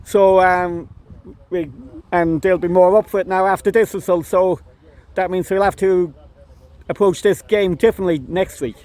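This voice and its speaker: A man's voice: high voice, steady tone, normal speed, darkish tone. A man with an Irish accent interviewing after a football match, asking questions with frequent hesitations. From Ireland. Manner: hesitant, many "um"s. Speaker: club interviewer.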